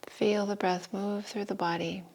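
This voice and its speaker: A woman yoga teacher giving guided meditation and breathing instructions in an unhurried, soothing manner with long pauses. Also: mid-range voice, little pitch movement, darkish tone, slightly rough, low-quality recording. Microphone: live video call stream.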